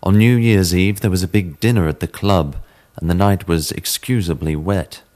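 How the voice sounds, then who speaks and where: Very deep-voiced man narrating a horror story. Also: normal speed, a little mellow. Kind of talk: dramatic reading of a classic horror story. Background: sitar music.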